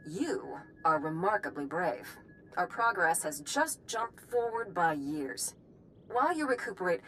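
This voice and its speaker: No-nonsense game doctor, driven and blunt, slightly low voice, bit of noise. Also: female voice, fairly bright tone, rough recording. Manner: brisk, firm, professional. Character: driven, blunt female military research doctor.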